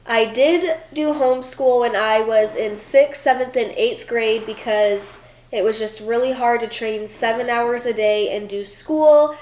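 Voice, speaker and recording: A woman's voice: on the high side, a little slow, hardly any pauses, darkish tone, roomy sound. A woman in her twenties answering viewer questions in a casual vlog, chatty with lots of fillers, sounding stuffy from a cold. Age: 20s. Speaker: vlogger, former college gymnast.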